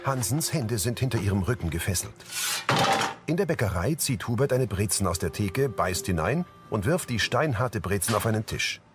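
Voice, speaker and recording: Low-pitched, lively-toned male narrator. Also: moderate pace, music in the background. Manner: neutral, matter-of-fact. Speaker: audio-description narrator. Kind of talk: scripted present-tense scene narration.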